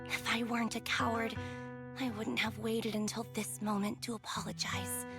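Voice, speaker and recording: An actress playing a remorseful young woman soldier and former squad captain in a video game, speaking softly and haltingly with music behind her. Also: fairly high pitch, flat delivery, creaky. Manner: soft, measured, halting, subdued. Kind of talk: dramatic video game voice acting.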